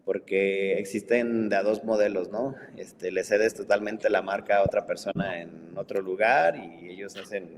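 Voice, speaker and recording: A man hosting an online talk in a relaxed, conversational way, with lots of filler words. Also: lowish voice. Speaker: webinar host. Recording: long reverb, faint background noise.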